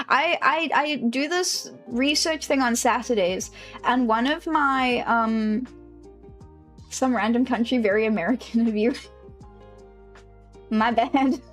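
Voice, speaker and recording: A woman from South Africa with a South African English accent, chatting casually on a game stream, rambling and correcting herself as she goes. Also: a little high. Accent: South African English, with a British-leaning lilt. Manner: rambling, self-correcting, laughs often.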